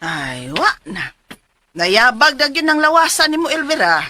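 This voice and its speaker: A woman's voice: high-pitched, big pitch swings, unhurried, loud music behind the voice. A woman with a Cebuano accent acting a bewildered, exclaiming mother in a radio drama. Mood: astonished.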